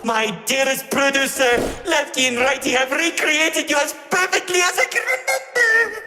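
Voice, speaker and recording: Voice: sits high, moderate pace, pushed and bright. An actor playing a theatrical, unhinged masked showman villain in a video game, with a melodramatic and mocking delivery. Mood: lovelorn, melodramatic. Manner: hammy, mocking, swinging between grief and sarcasm. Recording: echoey hall reverb with music behind.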